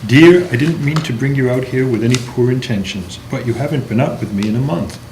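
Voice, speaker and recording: A man's voice: medium pitch, fairly quick, close to an everyday voice. Man reading mild, placating husband, echoey room. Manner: mild, apologetic. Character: placating, polite 1950s writer husband. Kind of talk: amateur stage play table read. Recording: echoey room, faint noise.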